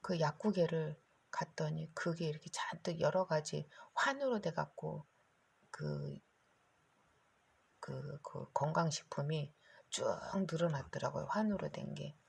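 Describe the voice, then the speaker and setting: A middle-aged woman vlogging to her phone in a rambling, anxious monologue, her voice hoarse and her throat catching. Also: sits low, measured pace. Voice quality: gravelly, husky. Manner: rambling, anxious, talks in long run-on stretches. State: hoarse, keeps clearing throat. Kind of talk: casual monologue to camera.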